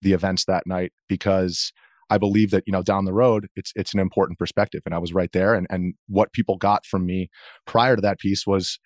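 An American man speaking as an interview guest, earnestly explaining his perspective in halting conversational phrases. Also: low voice, steady tone, darkish tone, no room sound, studio quality. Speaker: interview guest, video journalist.

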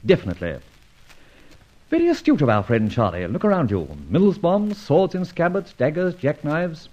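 A debonair radio-serial adventurer with a husky, swooping delivery. He speaks in urbane, mock-formal flourishes with a teasing streak. The old recording is dull. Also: a little high, sing-song, normal speed, mellow. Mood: suave, teasing.

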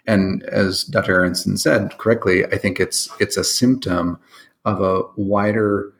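Low-voiced man musing reflectively. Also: steady tone, measured pace. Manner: reflective, hesitant phrasing. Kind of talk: podcast interview.

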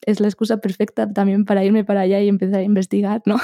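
A young woman with a Spanish accent talks through an interview in a mellow, warm tone. She is soft-spoken, laughs easily and keeps tagging her thoughts with little questions. The sound is close and dry. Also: medium pitch, level tone, fairly quick, no echo. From Spain. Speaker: podcast guest, meditation podcaster. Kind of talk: casual interview chat.

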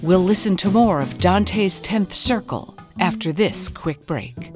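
A woman's voice: fairly low pitch, lively intonation, slow pace. A woman reading scripted podcast narration with dry humor. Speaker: show narrator. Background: music.

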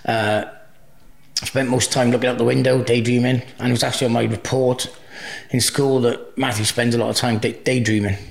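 Gravelly Cardiff-accented man, rambling. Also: medium pitch, level tone, brisk. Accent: Welsh, Cardiff. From Wales. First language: Welsh. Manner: rambling, restarts often, easygoing. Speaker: guest. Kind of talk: casual interview chat.

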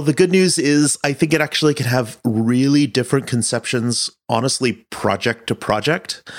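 A man talking conversationally and thoughtfully as a director, measured and pausing to choose his words. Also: medium pitch. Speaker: audio drama director.

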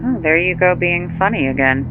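Efficient dry assistant on a thin phone line, lots of hum, audio drama. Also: female voice, on the low side, expressive, a little fast. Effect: phone filter. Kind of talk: naturalistic audio drama acting. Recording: tinny phone line, loud car hum.

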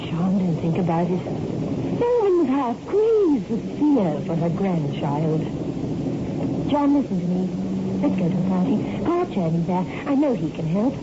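An actress playing a worried, pleading wife in a 1960s radio horror drama, earnest and dramatic. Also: female voice, medium pitch. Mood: worried, coaxing. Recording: muffled, noisy old radio recording.

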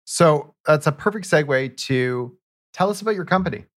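A man's voice: on the high side, animated, normal speed. A man from Canada hosting a business podcast. He talks in an enthusiastic, conversational way. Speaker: podcast host. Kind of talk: podcast interview.

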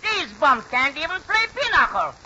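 An actor playing a cocky, taunting little cigar-smoking wisecracker in 1940s radio drama style. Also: male voice, very high-pitched, very animated, normal speed, pushed and bright, heavily acted. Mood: mocking glee. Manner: taunting, cackling. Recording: muffled noisy 1940s radio recording, crowd behind.

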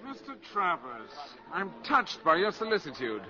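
An actor playing a suave, self-assured valet turned spy in a 1950s radio drama, sardonic and theatrically polite. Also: male voice, high-pitched, huge pitch swings, moderate pace, music in the background. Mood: amused defiance. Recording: dull old 1950s radio recording.

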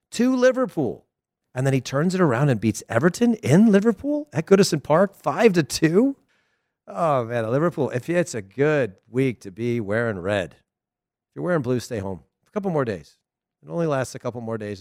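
A middle-aged man with a Southern American accent, hosting a casual soccer talk show, chatty and animated with frequent laughs. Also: medium pitch, moderate pace, no echo, studio quality. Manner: chatty, animated, laughs often, loose restarts. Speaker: soccer podcast host. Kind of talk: casual solo commentary.

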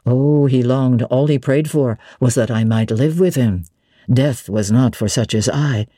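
A woman voicing a brooding gentleman bridegroom in a classic novel reading, wounded, coaxing and indignant. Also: rumbling low, a little slow, no room sound, studio quality, pitched below the performer's own voice. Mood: yearning.

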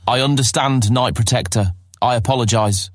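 A man's voice: medium pitch, moderate pace, no room sound, clean studio sound, natural voice. An actor playing an earnest, polite young male guildmaster in a fantasy audio drama, restrained and a little unsure. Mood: apologetic.

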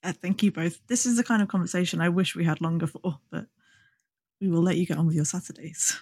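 Creaky, dry-sounding woman co-host. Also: on the low side, steady tone, quick pace, a little mellow, no echo. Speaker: podcast co-host. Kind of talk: casual chat.

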